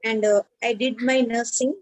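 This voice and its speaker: A woman from India with an Indian English accent, a nurse, giving formal, halting practice interview answers. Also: on the high side, steady tone.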